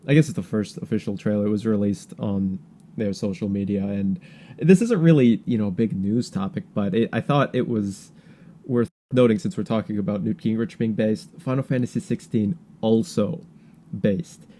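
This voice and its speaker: Low-pitched, mellow man talking politics casually. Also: normal speed, slight background noise. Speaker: podcast host.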